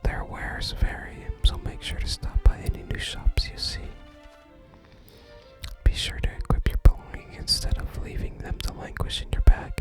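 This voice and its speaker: A person whispering soft, unhurried ASMR commentary while playing a video game and reading out its text. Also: over music.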